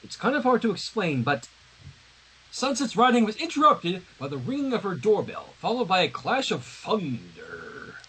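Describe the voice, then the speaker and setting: Husky, very animated man reading aloud. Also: high-pitched, normal speed, rough recording. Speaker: fan fiction reader on a livestream. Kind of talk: animated story narration with casual asides.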